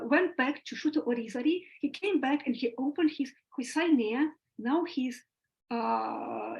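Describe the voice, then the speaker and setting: A woman presents research to an online seminar, pausing often and filling gaps with hesitant fillers. Her voice sits fairly high. The audio is dull and lo-fi, like a compressed call. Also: darkish tone. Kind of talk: academic talk.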